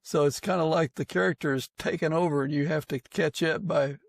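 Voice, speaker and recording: Guy podcast host, kinda high voice w lots of creak, chatty interviewing, dry sound. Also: steady tone, fairly quick, no room sound. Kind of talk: conversational interviewing.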